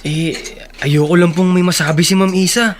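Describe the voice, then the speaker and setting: An actor playing a humble, eager young gardener in a Tagalog radio drama, polite and a bit anxious. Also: male voice, high voice, steady tone, moderate pace, natural voice. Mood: humble, earnest.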